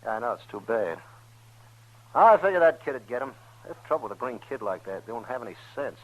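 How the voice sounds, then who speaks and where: A man's voice: mid-range voice, big pitch swings, brisk, rough recording. A man playing a cornered, shifty gambler who pleads and evades in an old-time radio western. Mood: guarded, casual. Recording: old radio drama recording.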